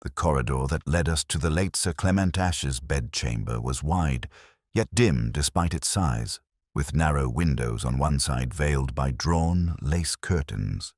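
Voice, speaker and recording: A man's voice: very deep voice, animated, unhurried, no room sound, clean studio sound. A man reading a Victorian detective story as scripted dramatic narration. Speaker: audiobook narrator.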